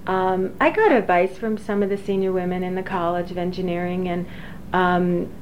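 A woman in her forties, an engineering professor, recalling her career slowly with many fillers and restarts. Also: fairly low pitch, fairly even intonation. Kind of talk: oral history interview. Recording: dull lo-fi recording with faint hiss.

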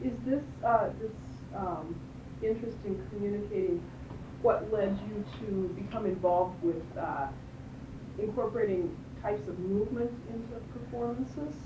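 A woman's voice: medium pitch, moderate pace, highs cut off. A woman interviewing someone, asking questions tentatively and murmuring acknowledgement. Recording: echoey, distant mic, clear background noise.

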